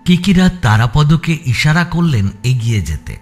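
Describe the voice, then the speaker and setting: Lively male narrator of a suspense story. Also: a little high, a little slow, faint music behind.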